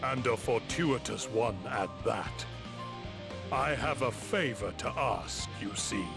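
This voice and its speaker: A courteous video game gentleman with a rough, gravelly voice. He speaks at a very slow, measured pace. Game music plays underneath. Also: mid-range voice. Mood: mildly surprised. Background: game soundtrack music.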